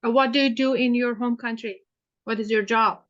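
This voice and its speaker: Woman, higher pitch, hesitant questioning, muffled lo-fi sound. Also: fairly even intonation, moderate pace, fairly bright tone. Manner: hesitant, many fillers and restarts. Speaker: student playing counsel in a mock hearing. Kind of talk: role-play questioning in a mock hearing.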